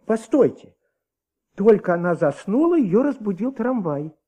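Anxious fussy husband witness, high voice that jumps around, hesitant, repeats himself, soviet radio play. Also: male voice, very animated, a little slow, dark tone, exaggerated voice. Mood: hesitant, earnest. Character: anxious, sleepless husband, talkative male witness. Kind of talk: Soviet radio drama acting.

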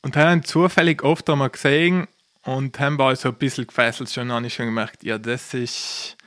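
A man in his thirties with a South Tyrolean accent talks as a podcast guest. His voice sits at a middle pitch. He is casual and enthusiastic, telling personal stories in colloquial, loosely joined phrases. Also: fairly even intonation, fairly quick, a bit bright. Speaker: podcast guest, professional poker player. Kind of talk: casual chat, personal storytelling.

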